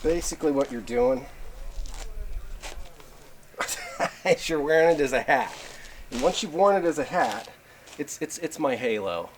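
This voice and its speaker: A man's voice: fairly high pitch, lively intonation, unhurried, pauses often, a little hiss or hum. A man hosting a gear review, talking casually and thinking aloud as he rambles through a hands-on demonstration. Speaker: host of a radio gear review channel.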